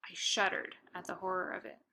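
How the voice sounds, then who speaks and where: Bright, expressive woman reading a children's book. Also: brisk. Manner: expressive, playful first-person reading. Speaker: narrator. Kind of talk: reading a children's novel aloud.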